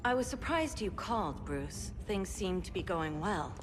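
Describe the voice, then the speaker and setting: A woman's voice: mid-range voice, big pitch swings, measured pace. An actress playing a confident, friendly, teasing female hero in a video game cutscene. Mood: wry, friendly. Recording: long reverb, music behind.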